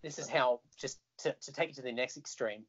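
A man's voice: medium pitch, brisk. Chatty Australian man on a dull call line. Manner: animated, chatty storyteller who laughs easily. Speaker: guest musician. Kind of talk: casual video call chat. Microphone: video call.

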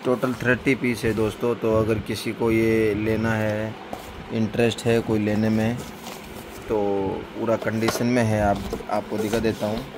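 Low, monotone man over clear noise. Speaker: record seller showing his collection. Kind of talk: casual handheld product showcase narration.

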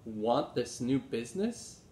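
A man voicing a helpful, sensible older boy in a children's storybook, informative and measured. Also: sing-song, mellow. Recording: roomy echo, low rumbling noise.

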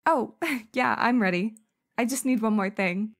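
A woman playing a cheerful, curious high school girl in an audio drama. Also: a little slow. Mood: casual. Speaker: voice actor.

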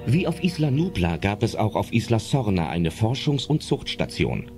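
A man's voice: on the low side, big pitch swings, normal speed. A man narrating a suspenseful adventure audio drama in scripted storyteller style. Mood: suspenseful storytelling. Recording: loud music under narration.

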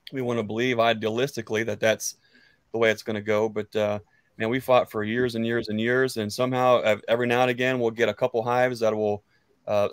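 A man's voice: on the low side, no echo. American man, close dry mic, meandering. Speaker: beekeeper, guest on a live chat. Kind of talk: casual chat. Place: book-lined room at home. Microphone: close studio mic on an arm.

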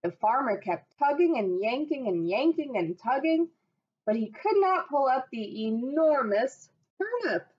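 Slow, gentle woman reading a storybook. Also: sits high. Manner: slow, expressive, gentle read-aloud voice. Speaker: teacher reading aloud. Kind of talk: storybook read-aloud on webcam. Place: small room at home. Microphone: webcam at desk. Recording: dull lo-fi webcam audio.

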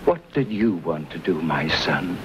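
An older man playing a gentle, wise frontier priest who speaks formally and solicitously in an old western. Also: sits low, sing-song, unhurried. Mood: grave, gentle. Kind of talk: 1950s western screen acting. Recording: muffled, noisy old film soundtrack.

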